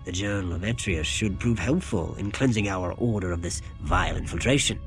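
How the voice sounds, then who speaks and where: An actor playing a courteous magician of a secret order in a video game, speaking formally in archaic phrases. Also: male voice, sits low, brisk, gravelly, faint music behind. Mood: grateful.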